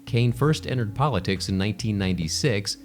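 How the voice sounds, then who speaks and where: Guy reading news reports, voice a bit low, music behind him. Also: lively intonation, brisk. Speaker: news reader. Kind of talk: scripted news narration. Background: background music.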